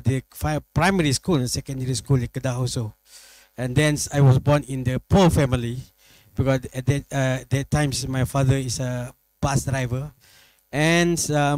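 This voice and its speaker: A middle-aged man from Malaysia, a professor answering interview questions, speaking haltingly and restarting his phrases. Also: medium pitch, steady tone, darkish tone, dry recording, rough recording. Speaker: guest professor. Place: studio set.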